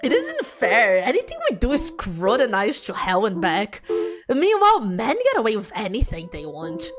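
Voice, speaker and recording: A woman's voice: mid-range voice, huge pitch swings, normal speed, music in the background. A woman voicing a bitter, despairing young woman from a visual novel who insists she is good. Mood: defensive, aggrieved.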